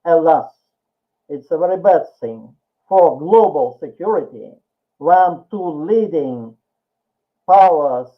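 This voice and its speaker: Tinny-sounding older Russian man, high-pitched voice. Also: lively intonation, slow pace, pauses often. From Soviet Russia. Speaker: academic panelist. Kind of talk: prepared seminar presentation. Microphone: video call. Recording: tinny phone-like online call.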